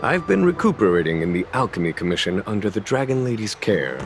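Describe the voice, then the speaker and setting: Very deep, courteous general. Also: male voice, sing-song, fast. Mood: composed, courteous. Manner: formal, composed. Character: composed, courteous male general. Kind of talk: video game voice acting. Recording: game music behind the voice. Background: game music.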